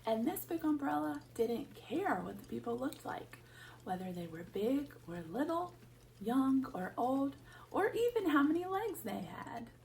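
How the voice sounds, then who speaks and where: Lady reading to little kids, super sing-song up and down, bit of vocal fry, mic kinda far away. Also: high voice, measured pace, a little hiss or hum. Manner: expressive, addressing children with questions. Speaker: children's storyteller at a church. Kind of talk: read-aloud storytime for young children.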